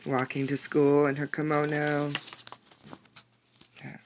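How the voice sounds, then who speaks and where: A woman reading a picture book aloud as a teacher, expressively, pausing to put questions to young listeners. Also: low voice, background noise. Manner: expressive storytelling, addressing young listeners directly. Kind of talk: interactive children's read-aloud with questions.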